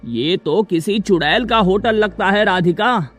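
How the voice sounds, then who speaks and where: A man's voice: sits high, unhurried, a bit bright, dry recording, faint music behind. A man playing a husband and father in a children's cartoon story, earnest and emphatic. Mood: alarmed. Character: reassuring husband and father, alarmed under threat.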